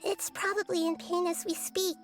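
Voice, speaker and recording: A woman voicing a wise, thoughtful childlike girl deity in a video game, explaining in a measured, reflective way. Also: extremely high pitch, flat delivery. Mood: concerned, thoughtful. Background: light background music.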